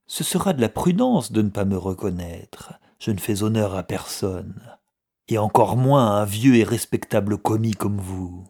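Man acting a bitter, husky down-and-out brother. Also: fairly low pitch, expressive, moderate pace. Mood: bitter scorn. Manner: sneering, sardonic, forceful. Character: bitter, scornful, grey-haired down-and-out younger brother. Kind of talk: audiobook character dialogue.

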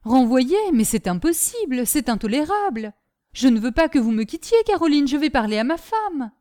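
A woman voicing a kindly, fatherly gentleman, master of a household, in a storybook reading with a concerned, paternal manner. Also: on the high side, moderate pace, voice pushed up, natural voice. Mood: indignant. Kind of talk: audiobook character acting.

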